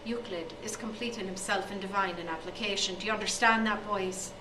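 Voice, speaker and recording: A woman voicing a dreamy, sorrowful old male maths teacher who speaks slowly and solemnly. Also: mid-range voice, fairly even intonation, background noise, lightly acted. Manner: slow, solemn, sighing. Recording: echoey room from far off.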